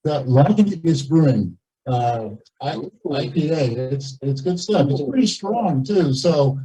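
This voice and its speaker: Guy at a meeting, really dull dark voice, kinda husky, stumbles and repeats words a bit. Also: animated, unhurried. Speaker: cabin owner speaking from the floor at a meeting. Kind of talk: informal meeting remarks and questions. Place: community meeting, captured on a video call. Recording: muffled low-fidelity meeting audio over video call.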